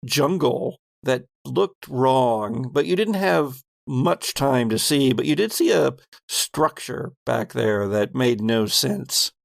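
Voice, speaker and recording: A man narrating a tabletop game as game master, improvising in a deliberate, halting, dramatic way. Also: medium pitch, expressive, gravelly, no echo.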